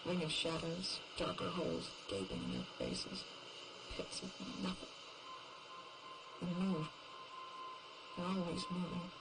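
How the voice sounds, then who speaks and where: Woman performing a haunted female lone survivor, bitter, brooding, halting, gravelly low-leaning voice, horror audio drama. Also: wildly swooping pitch, noisy background, full character voice. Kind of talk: first-person horror audio drama monologue.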